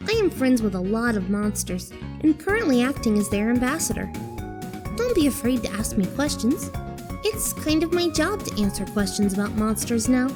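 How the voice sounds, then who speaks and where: Kind, earnest child narrator played by a woman, high-pitched and courteous, over clear music. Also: very animated, normal speed. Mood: earnest, friendly. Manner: polite, sincere. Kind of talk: first-person fandub narration.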